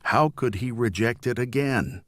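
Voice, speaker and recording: A man reading a novel as an audiobook narrator, with a measured, solemn and deliberate delivery. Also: mid-range voice, no room sound, clean studio sound.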